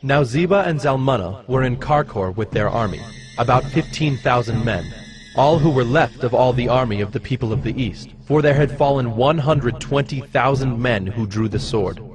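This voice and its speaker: A man narrating dramatized scripture in a solemn, measured storytelling manner. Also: medium pitch, low-quality recording. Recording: clear music behind the voice.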